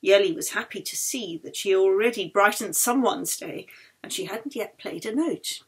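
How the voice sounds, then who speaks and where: A woman reading a novel aloud as its author, in an expressive storytelling manner that brings the dialogue to life. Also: mid-range voice, moderate pace, husky, poor audio. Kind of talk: scripted narration, audiobook reading.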